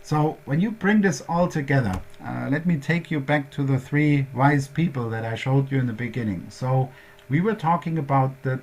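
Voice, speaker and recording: A man's voice: medium pitch, darkish tone. German-accented male presenter, mid-range voice, methodical explainer, slight background noise. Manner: methodical, explanatory, walks through concepts step by step. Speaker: workshop presenter. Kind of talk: workshop presentation.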